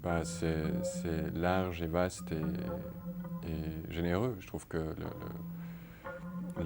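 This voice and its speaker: Halting deep-voiced man, music behind. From Netherlands. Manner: halting, many repeated words and fillers. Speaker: artist interviewee. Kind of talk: hesitant interview answers.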